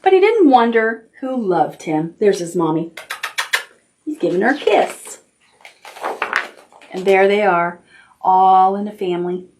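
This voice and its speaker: A woman's voice: mid-range voice, expressive, measured pace, pauses often, background noise. A woman hosting a toddler storytime as a children's librarian, reading aloud expressively in simple child-directed language with little asides.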